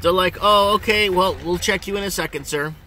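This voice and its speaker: A middle-aged man talks casually to his viewers in a fairly high, bright, forceful voice. He rambles and hammers his questions with animated emphasis. Faint engine and road noise runs behind him inside the car. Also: fairly quick. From Ontario, Canada. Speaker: vlogger.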